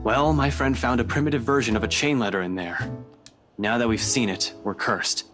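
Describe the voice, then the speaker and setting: An actor playing a weary, haunted investigator in a video game, guarded and dryly sardonic. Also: male voice, medium pitch, moderate pace, close to an everyday voice. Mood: grave. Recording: voice over background music.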